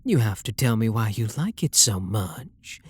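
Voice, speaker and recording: A man with a British accent playing a refined, possessive and seductive vampire lord in an intimate ASMR roleplay, speaking softly and coaxingly with a breathy, husky voice. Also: on the low side, very animated, full character voice. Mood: soothing, tender. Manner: soft, coaxing, flirtatious, unhurried.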